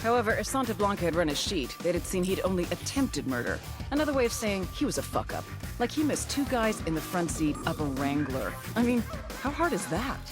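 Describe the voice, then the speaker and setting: A brisk female intelligence handler in a game cutscene. She briefs in a blunt, wry, scripted style, with clear music underneath her voice. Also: lowish voice, sing-song. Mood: sardonic. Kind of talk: scripted narration, mission briefing.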